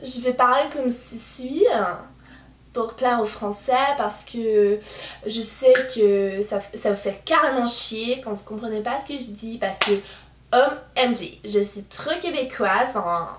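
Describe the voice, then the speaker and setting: A prim, mock-refined young woman with an affected France-French accent, as an 18-year-old vlogger puts her on. The tone is high and overdone, and it sounds roomy and a little distant from the mic. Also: a little slow, a little hiss or hum, natural voice. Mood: mock-posh. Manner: exaggerated, mock-refined teasing.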